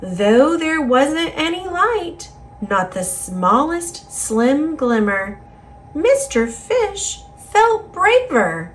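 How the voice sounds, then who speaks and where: A woman with an American accent reading a children's picture book aloud in an expressive, singsong rhyming delivery. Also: high voice, drawn-out words, slight background noise. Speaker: storybook reader.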